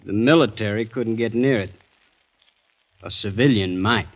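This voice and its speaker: Folksy Southern corporal, muffled recording. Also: male voice, lowish voice, moderate pace. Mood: polite, matter-of-fact. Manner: deferential, folksy. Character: polite, weary Confederate corporal, plain-spoken country soldier. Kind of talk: 1940s-50s radio acting. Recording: dull vintage radio recording.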